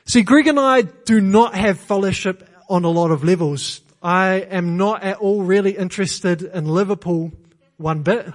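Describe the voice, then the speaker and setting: High-voiced New Zealand man preaching. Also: a little slow. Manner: earnest, self-deprecating humor, emphatic repetition. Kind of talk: sermon. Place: church.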